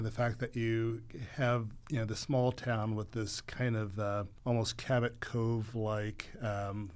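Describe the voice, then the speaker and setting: A man with a slightly low voice talks on a podcast panel. He is conversational and thoughtful, feeling his way through his points. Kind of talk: casual conversational commentary.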